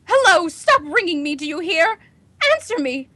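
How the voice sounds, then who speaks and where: Very high-pitched, frantic imperious housewife. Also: female voice, sing-song, normal speed, bright, forceful tone, exaggerated voice. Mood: frantic, hysterical. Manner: haughty, melodramatic, agitated. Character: nervous, imperious, panicking housewife alone at night. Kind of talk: 1940s radio acting.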